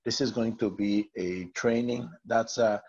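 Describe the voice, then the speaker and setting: A man's voice: lowish voice, fairly even intonation, measured pace, a little mellow, husky, highs cut off. A man from Mexico with a Mexican Spanish accent, Spanish his first language, giving an online training talk with many fillers and restarts. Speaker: network marketing trainer.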